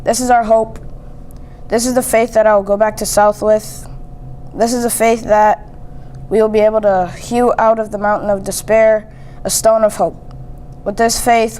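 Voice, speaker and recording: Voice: medium pitch, warm tone. A young teen student reading a famous speech aloud. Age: young teen, seventh grader. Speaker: student reader. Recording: noisy amateur recording.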